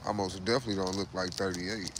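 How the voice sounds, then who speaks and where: A man's voice: deep voice, steady tone, quick pace, noisy background. A man in his late thirties hosting a casual livestream, talking loosely and profanely, repeating himself and saying "bro" a lot. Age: 30s. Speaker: livestream host.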